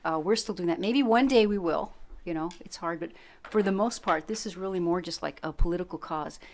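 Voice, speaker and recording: Woman talk-show host, lowish voice, chatty panel style. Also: fairly quick, a little mellow. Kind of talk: casual political chat.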